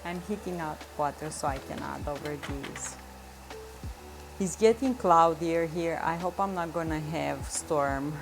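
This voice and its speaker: Slow-talking chatty woman, music behind. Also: low voice, creaky, rough recording. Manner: chatty, laughs often, reads chat aloud. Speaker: vlogger cooking and chatting with live chat. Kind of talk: casual livestream chat. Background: soft background music.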